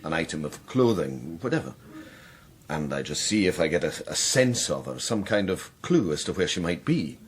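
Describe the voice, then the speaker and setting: Deep, hesitant Scottish man. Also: sing-song, moderate pace. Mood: tentative. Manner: hesitant, courteous, gently probing. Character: polite, hesitant male psychic detective, music lover. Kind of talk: radio drama acting.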